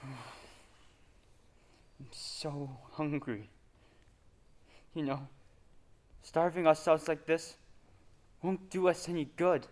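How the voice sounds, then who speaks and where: A man's voice: fairly high pitch, fairly even intonation, slow pace, pauses often, darkish tone. A young man acting an impatient, complaining character in a student stage murder mystery. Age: young adult.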